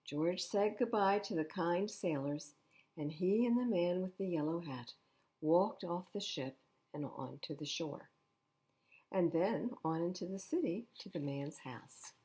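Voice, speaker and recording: A woman reading a picture book aloud to children at storytime, expressively, pausing to explain the pictures in simple words. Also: lowish voice, animated, warm tone, low-quality recording. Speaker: library storytime reader.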